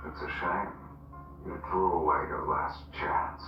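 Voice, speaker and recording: A man playing a relaxed, deliberate, coolly commanding crime boss in a video game. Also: low-pitched, muffled recording. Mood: cool menace. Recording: distant, echoey game audio under loud music. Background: loud music.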